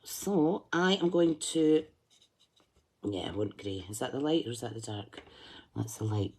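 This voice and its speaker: A woman's voice: rumbling low, expressive, measured pace, darkish tone, slightly rough. A woman hosting a craft tutorial, chatting and thinking aloud as she colours, humming to herself while she concentrates. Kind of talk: live colouring demonstration.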